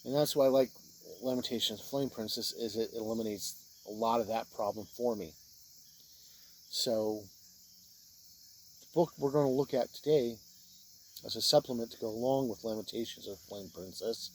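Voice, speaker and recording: Male reviewer, mid-pitched, plain-spoken with halting short phrases, informal game-book review, audible cricket-like background noise. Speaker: reviewer of tabletop role-playing books, game master.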